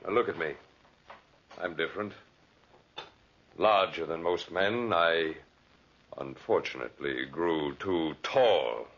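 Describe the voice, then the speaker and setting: A huge, embittered outcast from a 1940s radio thriller. The voice is bottomless and creaky, with a hard, forceful edge. Every line is deliberate, theatrical and threatening, captured on a dull vintage recording. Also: male voice, deep voice, heavily acted. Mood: bitter, brooding. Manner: deliberate, ominous, theatrical delivery. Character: huge, ugly, bitter, menacing hermit giant. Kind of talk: 1940s radio drama acting.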